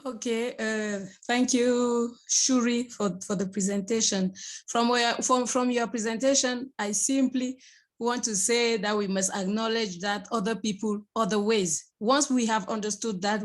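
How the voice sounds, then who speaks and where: A woman with a fairly high voice speaks as a participant in an online meeting. Her remarks are short and sound prepared. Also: steady tone, unhurried.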